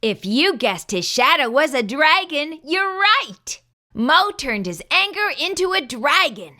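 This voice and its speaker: Bright, high-voiced woman reading to children. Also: big pitch swings, unhurried. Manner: inviting, asks listeners questions. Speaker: children's story reader and host. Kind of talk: children's read-aloud narration, addressing young listeners.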